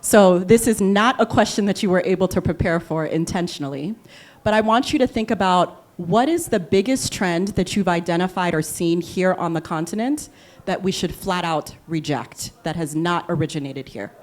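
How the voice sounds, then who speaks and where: A woman with a Liberian accent moderating a panel discussion, briskly affirming and summarizing the speakers' answers. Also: on the low side.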